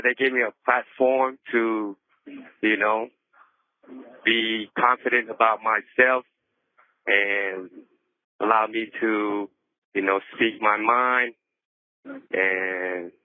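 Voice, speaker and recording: Halting middle-aged man on a phone line. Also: lowish voice, fairly even intonation, no room sound. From Seattle area, USA. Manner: halting, many "you know" fillers. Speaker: incarcerated cultural group member. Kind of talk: personal testimony.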